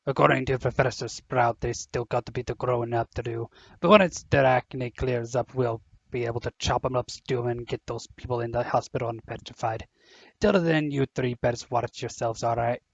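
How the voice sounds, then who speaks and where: A gruff, friendly groundskeeper acted by a man in an audio drama, his voice full of gravel. He talks in a folksy, casual way, and the recording is dry and a little dull. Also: mid-range voice, steady tone, normal speed, no echo. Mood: matter-of-fact, cautioning. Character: gruff friendly male groundskeeper with rustic speech. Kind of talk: fan audio drama acting.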